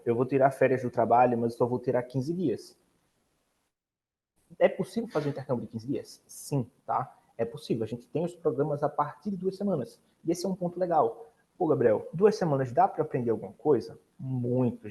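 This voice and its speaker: A man's voice: medium pitch, mellow. A man, an exchange program advisor explaining in casual chat and addressing viewers directly.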